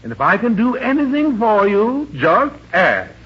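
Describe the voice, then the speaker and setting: An actor playing a genial, hearty ship's captain in a 1940s radio comedy, with a blustery, hesitant way of talking. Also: male voice, high-pitched, huge pitch swings, a little slow, husky, full character voice. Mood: genial, teasing. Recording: dull old 1940s radio recording.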